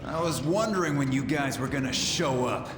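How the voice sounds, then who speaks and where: An actor playing a breezy, wisecracking young robot soldier in a video game cutscene. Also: male voice, mid-range voice, expressive, normal speed, background noise, close to an everyday voice. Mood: cocky, breezy. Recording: echoing hall, very loud music behind.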